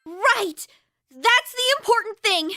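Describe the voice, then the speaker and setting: Very high, fiery teenage girl. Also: expressive, slow, bright, forceful tone, no echo, studio quality, heavily acted. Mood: determined. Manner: emphatic, exclaiming, bossy. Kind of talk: English video game dub voice acting.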